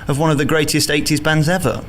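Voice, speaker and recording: A man interviewing a stage actor, putting his questions in a brisk, rehearsed way. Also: a little high, steady tone.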